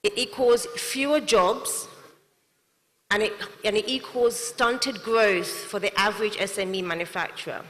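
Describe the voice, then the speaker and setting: A woman's voice: medium pitch, fairly even intonation, measured pace, a bit bright. A young adult woman, a company CEO, giving an earnest prepared speech to an audience in a large hall. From not Nigeria. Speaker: CEO and manufacturer giving a talk. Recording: long hall reverb.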